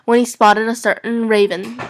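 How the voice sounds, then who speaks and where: A woman's voice: on the high side, level tone, normal speed, a little hiss or hum. A young woman reading fan fiction narration aloud as an amateur, playful and prone to giggling.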